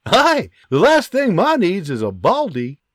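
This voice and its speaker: A man's voice: sits high, huge pitch swings, unhurried, darkish tone, dry recording, studio quality, pitched above the performer's own voice, exaggerated voice. A man voicing a cheeky young farm son in an audiobook, eager and joking.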